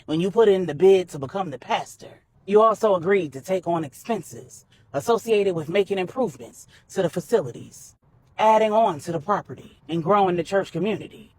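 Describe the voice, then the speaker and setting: A woman storyteller voicing a commanding, protective male crime boss with a measured, persuasive manner. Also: fairly low pitch, darkish tone, a little hiss or hum, natural voice.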